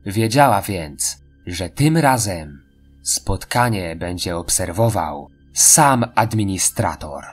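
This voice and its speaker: A man's voice: sits low, expressive, slow pace, slightly rough. A man reading scripted story narration as the narrator of an audio drama. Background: music.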